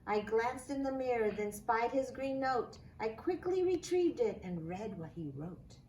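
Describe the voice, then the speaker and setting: A woman reads a children's story aloud in a slightly high voice. Her pace is slow, with deliberate, dramatic phrasing. The room is echoey, with faint noise in the background. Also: expressive, darkish tone. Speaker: school staff reading a picture book aloud.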